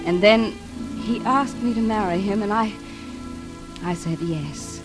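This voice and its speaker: A woman's voice: mid-range voice, measured pace, natural voice. An actress in a 1940s radio drama playing a haunted, wistful woman, dreamy and hesitant as she confides her memories. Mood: wistful, recounting. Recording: vintage radio recording with music behind.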